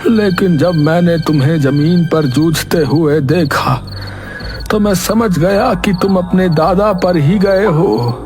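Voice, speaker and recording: A man voicing a solemn, wise old man in a cartoon, with an earnest, drawn-out delivery. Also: sits high, over music. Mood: grave. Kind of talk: dramatic Hindi cartoon dialogue.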